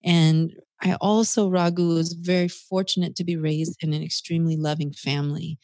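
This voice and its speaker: Woman, American-raised, low register, reflective conversational storyteller for an interview, dry studio-like sound. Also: little pitch movement, normal speed, darkish tone, no echo. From United States, South Carolina. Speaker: interview guest.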